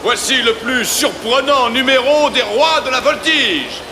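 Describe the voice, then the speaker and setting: High-pitched theatrical circus ringmaster. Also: male voice, unhurried. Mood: grand, showy. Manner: grandiose, booming announcements. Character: excitable circus owner and ringmaster, anxious showman. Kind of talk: theatrical cartoon dub acting. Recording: music behind the voice.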